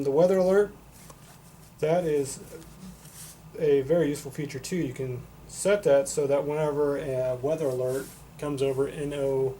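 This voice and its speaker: A man's voice: mid-range voice, dark tone, low-quality recording. A man giving a casual, unscripted product demonstration in a matter-of-fact, explanatory way. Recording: noticeable background noise.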